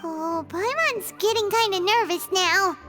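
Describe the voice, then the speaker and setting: A woman voicing a tiny, childlike fairy companion in a video game, squeaky, chatty, naive and full of exclamations. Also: unhurried, a bit bright, soft background music. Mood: awed, nervous. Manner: exclamatory, refers to self by name. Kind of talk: video game character acting.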